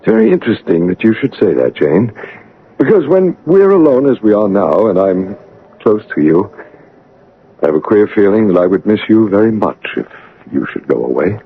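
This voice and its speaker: An actor playing a brooding, commanding gentleman master and passionate suitor in an old-time radio drama, abrupt and ardent in manner. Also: on the low side, big pitch swings, breathy edge, heavily acted. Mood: guarded, teasing. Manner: teasing, abrupt, ardent, halting for effect. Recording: dull, band-limited old radio broadcast.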